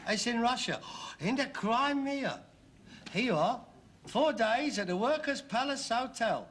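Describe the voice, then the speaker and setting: High, bright-voiced sarcastic London son. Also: male voice, lively intonation, a little slow, a little hiss or hum, exaggerated voice. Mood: eager, persuasive. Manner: sarcastic, theatrical, bantering. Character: scheming, sarcastic working-class son, eager to get away. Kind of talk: British sitcom acting.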